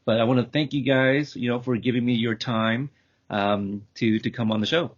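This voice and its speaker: Male podcast host, halting, lo-fi sound. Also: medium pitch, steady tone. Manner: halting, rambling. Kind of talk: casual, unscripted show wrap-up. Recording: dull, lo-fi call audio.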